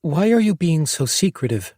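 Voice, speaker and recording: A male narrator voicing a sharp-tongued young girl who demands answers. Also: big pitch swings, moderate pace, slightly rough, no room sound, studio quality. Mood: indignant. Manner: shrill, accusing.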